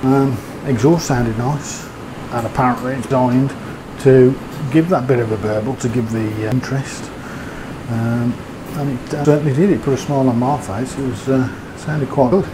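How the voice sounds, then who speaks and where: A man's voice: mid-range voice, fairly even intonation, normal speed, noisy background. A man giving a casual, rambling motorbike review, with fillers and hesitations. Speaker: motovlogger.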